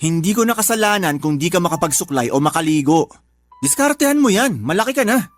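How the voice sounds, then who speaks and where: An actor plays a lazy young husband who sulks and talks back, defensive and a little whiny. Music plays softly behind the radio drama dialogue. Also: medium pitch, lively intonation, fast talker, close to an everyday voice. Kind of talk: Tagalog radio drama acting.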